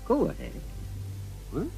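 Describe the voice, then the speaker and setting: An actor playing a cold, superior Venusian invader with a formal, condescending, precise delivery in old-time radio style. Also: male voice, warm tone, close to an everyday voice, poor audio. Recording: old radio show recording, music behind.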